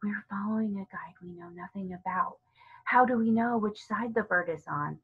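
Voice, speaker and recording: A woman's voice: mid-range voice, moderate pace, husky, phone-quality sound, soft background music. A woman voicing a sullen, suspicious boy in a storybook, grumbling and conspiratorial. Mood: suspicious, secretive.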